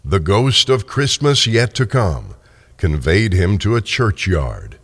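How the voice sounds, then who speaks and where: Slow, swooping male storyteller. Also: lowish voice. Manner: theatrical, expressive. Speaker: narrator. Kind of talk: dramatic scripted storytelling.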